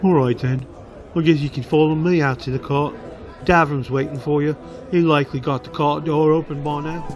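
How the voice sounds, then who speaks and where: A man's voice: on the high side, fairly even intonation, moderate pace, a faint crowd, natural voice. A man playing an easygoing, grumbling delivery hand in a fantasy radio drama, talking in a plain, folksy way. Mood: casual.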